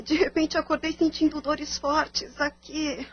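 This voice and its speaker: An actress playing a plaintive, dutiful young daughter in an audio drama. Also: female voice, high voice, level tone, unhurried, muffled recording, slight background noise. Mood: pained, plaintive.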